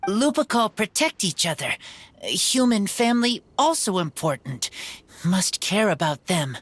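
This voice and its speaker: A woman voicing an earnest feral boy for a video game, speaking in halting, terse broken phrases. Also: medium pitch, sing-song, husky, no echo. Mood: solemn.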